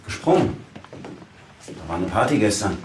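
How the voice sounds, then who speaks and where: A man's voice: on the low side, sing-song, moderate pace, darkish tone, a little hiss or hum. A man playing a polite, unruffled doctor in a TV crime drama, speaking in a courteous, matter-of-fact way. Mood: polite, puzzled. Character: polite, unruffled male doctor proud of his gadgets.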